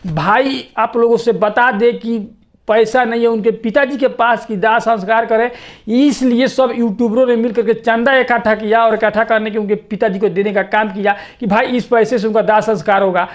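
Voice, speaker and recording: A man's voice: very high voice, fairly even intonation, normal speed. A man giving informal, opinionated news commentary to viewers in a repetitive, insistent way.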